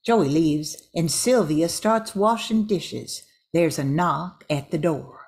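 An older woman narrates an amateur radio drama from a script. She takes her time, in a voice slightly lower than most women's. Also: takes it slowly, a little mellow.

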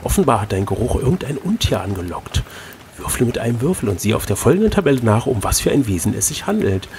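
A man reading an adventure gamebook aloud as host, switching between expressive narration and casual chat. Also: mid-range voice, fast, slight background noise.

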